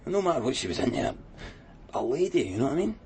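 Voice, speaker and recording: An actor playing a chatty, wistful Glasgow tattooist in a radio crime drama, rambling colloquially with a Scottish accent. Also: male voice, medium pitch, sing-song, quick pace, a little mellow, a little hiss or hum, close to an everyday voice. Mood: frank, unhurried. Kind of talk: naturalistic radio drama acting.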